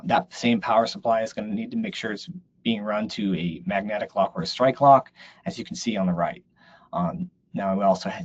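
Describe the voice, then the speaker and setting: Guy explaining slides on a webinar, lowish raspy voice, kinda dull audio. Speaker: webinar presenter.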